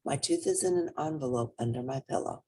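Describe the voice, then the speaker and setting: A woman's voice: extremely low pitch, a little fast, mellow, slight background noise. A woman voicing an earnest, curious little girl rabbit in a storybook, with eager, childlike pleading.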